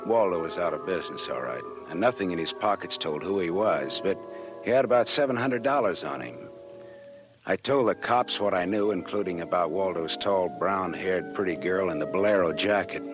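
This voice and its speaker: Very low male voice, hard-boiled wisecracking detective, terse, sardonic, 1940s radio noir, music behind. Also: animated, moderate pace. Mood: grim, wry. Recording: muffled old radio recording with music.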